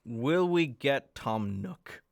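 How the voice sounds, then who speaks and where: A man co-hosting a game livestream, teasing his partner with short quick questions. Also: medium pitch, expressive, vocal fry. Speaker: co-host on a game stream. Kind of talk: casual livestream chat.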